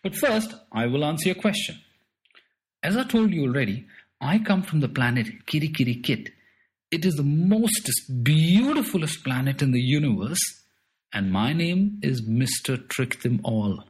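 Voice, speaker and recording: A man's voice: on the high side, sing-song, moderate pace, voice pushed up. A man doing a strange little alien trickster. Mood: playful. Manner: playful, bossy, fond of made-up words. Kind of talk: storybook character voice.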